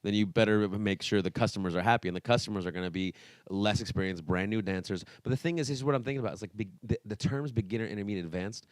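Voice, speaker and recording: Low-voiced man in joking podcast chat. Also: quick pace, no echo. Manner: joking, mock-indignant, rambling. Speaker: podcast co-host, dance teacher. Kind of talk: casual banter and opinion.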